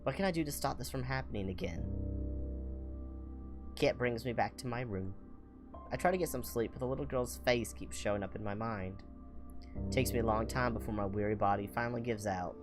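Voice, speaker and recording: A game streamer reading visual novel narration aloud with expressive, playful asides. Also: mid-range voice, fairly quick, pauses often, over music. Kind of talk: playthrough commentary and read-aloud narration.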